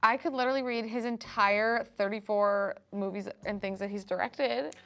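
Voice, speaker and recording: A woman's voice: mid-range voice, fairly even intonation, normal speed, fairly bright tone. A young woman bantering casually and jokingly as a contestant on a studio game show. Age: young adult. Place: indoor studio set.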